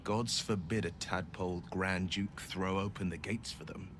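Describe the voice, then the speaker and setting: An actor playing a noble, resolute young hero who speaks in an earnest, rousing way. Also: male voice, deep voice, fairly even intonation, moderate pace, noisy background, lightly acted. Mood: reflective. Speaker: game companion. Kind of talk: video game dialogue.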